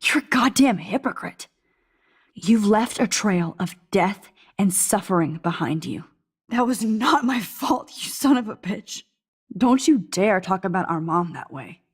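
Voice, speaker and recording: A woman playing an intense, argumentative teenage girl in a video game, with a slightly husky voice. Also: medium pitch, animated, unhurried. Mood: distressed, defensive. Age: young adult. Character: teenage girl confronting her cruel, mocking double.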